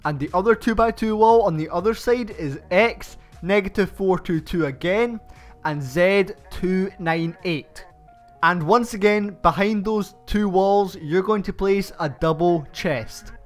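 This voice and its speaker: A young man with a stuffed-up, nasal voice from hay fever, hosting a game build tutorial and giving slow, methodical step-by-step instructions. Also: high voice, faint music behind. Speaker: channel host.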